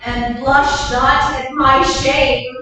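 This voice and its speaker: A woman's voice: high-pitched, flat delivery, extremely slow, sharp tone, soft background music, heavily acted. A woman playing a proud, bitter duchess in disgrace, declaiming verse in a sing-song stage manner. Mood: lamenting. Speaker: actor in a staged reading. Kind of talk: stage reading of verse drama. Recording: echoey hall, mic far off, faint noise.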